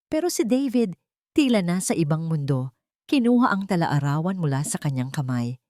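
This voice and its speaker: A woman narrates a dramatic audiobook in a fairly low voice. The recording is dry and close, with little room sound around her scripted delivery. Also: sing-song, normal speed, dark tone, no echo.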